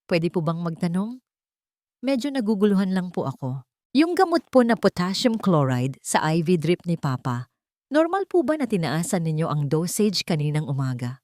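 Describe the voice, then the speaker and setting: Troubled rich young CEO, insistent, Filipino accent, played by a female storyteller, slightly low, dry sound. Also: very animated, normal speed, a little mellow, no room sound. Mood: feigned worry. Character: wealthy young male CEO, commanding, troubled son. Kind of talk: acted dialogue in narrated love story.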